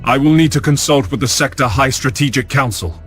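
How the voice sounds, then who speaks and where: A man's voice: medium pitch, brisk, fairly bright tone, lightly acted. Man as a firm, formal navy admiral. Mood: resolute. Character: resolute, dutiful male human space navy admiral. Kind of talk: video game cutscene acting. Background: music.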